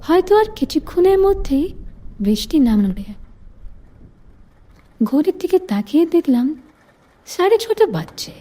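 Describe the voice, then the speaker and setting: Slow, mellow woman narrating. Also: very high voice, sing-song. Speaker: storyteller. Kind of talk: scripted first-person romantic story narration.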